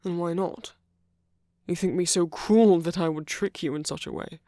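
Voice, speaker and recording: A man playing a gentle, nervous king in an intimate ASMR roleplay, speaking formally and earnestly with soft hesitations. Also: sits high, fairly even intonation, normal speed, no echo, lightly acted. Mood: amused, reassuring. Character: gentle, nervous king confessing love to a prince.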